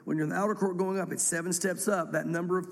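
A man's voice: high voice, steady tone, quick pace, in a large hall. A man, congested from a cold and losing his voice, teaching verse by verse through scripture in a church, with rambling self-deprecating asides. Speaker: pastor teaching a Bible study.

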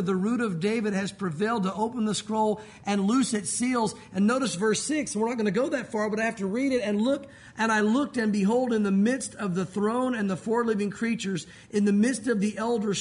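A male pastor with a loud voice, preaching in a conversational, emphatic way. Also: high voice, moderate pace, hardly any pauses. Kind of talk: sermon.

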